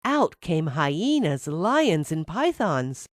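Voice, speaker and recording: A woman's voice: low voice, sing-song, slow, no echo, studio quality. A woman narrating a scripted fairy tale for children as a storyteller.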